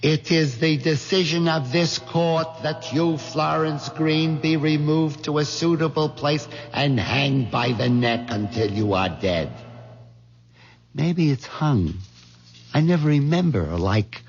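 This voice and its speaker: An actor playing a meek, hesitant, put-upon middle-aged husband in a 1970s radio drama. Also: male voice, on the high side, slow pace, faint music behind. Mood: rueful, confiding. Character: meek, hen-pecked middle-aged husband, wistful dreamer. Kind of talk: 1970s radio drama acting with confiding narration. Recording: dull vintage radio recording.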